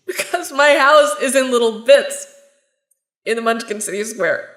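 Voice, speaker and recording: A woman's voice: on the high side, unhurried, fairly bright tone. A woman playing a lost young Kansas girl, bossy, exasperated and overwhelmed, in an audio drama. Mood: tearful, overwhelmed. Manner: urgent, exasperated, sarcastic. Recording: roomy echo, music underneath. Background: music.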